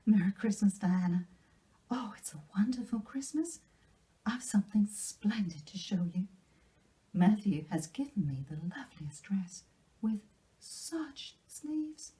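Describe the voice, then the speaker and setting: A woman voicing an excitable, dreamy young girl from a storybook, with breathy, gushing exclamations. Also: medium pitch, measured pace, voice pushed up, lightly acted, poor audio. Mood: bubbly excitement.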